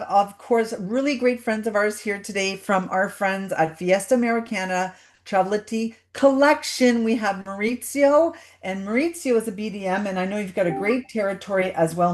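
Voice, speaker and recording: A woman's voice: medium pitch, normal speed. A woman hosting a live webinar over a video call, chatty and welcoming as she greets everyone. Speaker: webinar host.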